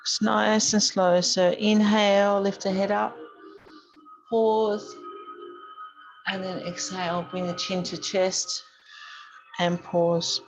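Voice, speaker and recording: A woman leading a gentle yoga class as the teacher, guiding with a calm, soothing, gently instructing manner. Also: medium pitch, slow, pauses often, soft background music, rough recording.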